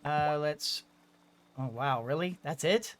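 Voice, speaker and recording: A game streamer with a mid-range voice and a very slow pace. The commentary is casual and rambling, with choices mulled over aloud. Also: mid-range voice. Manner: thinking aloud, hesitant, rambling.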